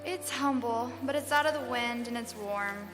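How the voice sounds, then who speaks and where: A soft, hushed young mother, played by a woman, answering in few words with a slightly high voice. She sounds a little distant on a live stage, with a long reverb and piano music under her. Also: normal speed, lightly acted. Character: Mary, young mother, quiet and humble. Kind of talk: live radio drama acting.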